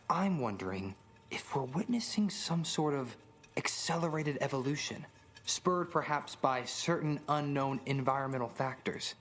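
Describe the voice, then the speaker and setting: A man's voice: mid-range voice, lightly acted. A man playing a curious, erudite monster scholar musing formally through field notes in a video game.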